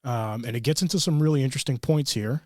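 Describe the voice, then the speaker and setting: A man's voice: mid-range voice, fast, darkish tone, no room sound, clean studio sound. A man co-hosting a podcast in casual banter, informal and blunt, swearing freely. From Greek American family. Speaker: podcast co-host.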